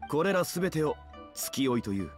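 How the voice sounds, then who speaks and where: A man voicing a dignified, ageless gentleman scholar in a Japanese video game, formal, measured and solemn. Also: fairly high pitch, sing-song, soft background music. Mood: solemn, poetic.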